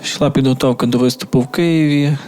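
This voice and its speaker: A man from Ukraine, a musician answering interview questions in flat, unhurried speech with small hesitations. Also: mid-range voice.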